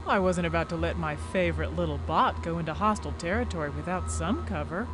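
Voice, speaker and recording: A woman's voice: fairly low pitch, fast, music in the background, natural voice, poor audio. A woman playing a cool, tough, sardonic mercenary in a video game, teasing and curt, over background noise.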